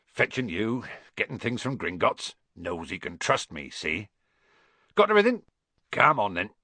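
A man voicing a gruff, good-natured giant from a storybook, proud and loyal, with folksy clipped speech. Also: fairly low pitch, sing-song, moderate pace, pushed and bright, dry recording, heavily acted. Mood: reassuring, proud. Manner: folksy, clipped casual speech, grunting and sleepy at first.

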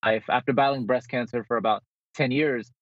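A man heard over a slightly muffled, lo-fi call, talking quickly with a husky edge. He is a motivational speaker and author answering in a conversational interview style. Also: mid-range voice, steady tone, no room sound. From born in the Philippines, grew up in New Jersey. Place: remote call into the show. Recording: dull lo-fi remote call.

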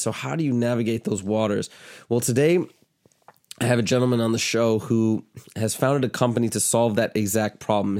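A man's voice: fairly low pitch, a little mellow. A man hosting an interview podcast, upbeat and chatty, with a stuffy, congested voice. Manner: upbeat, asks loose rambling questions. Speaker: podcast host. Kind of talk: casual interview chat.

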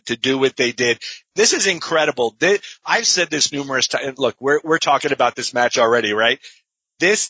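A man's voice: a little high, bright, forceful tone, breathy edge, dry recording, lo-fi sound. A man co-hosting a casual wrestling podcast, emphatic and enthusiastic. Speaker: podcast co-host.

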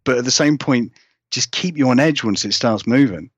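A man's voice: mid-range voice, moderate pace, breathy edge, dry recording. British guy chatting in an interview, rambly, bit lo-fi, no room sound. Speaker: guest horror writer. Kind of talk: casual interview chat.

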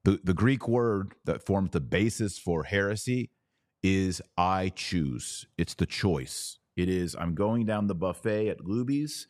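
A man's voice: deep voice, takes it slowly, darkish tone, no room sound, clean studio sound. A man hosting a podcast, giving conversational religious commentary in an emphatic, colloquial, explanatory manner. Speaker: podcast host.

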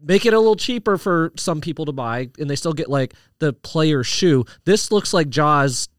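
A man's voice: a little high, animated, normal speed, no room sound, clean studio sound. A man on a podcast panel in casual debate, explaining his point through comparisons.

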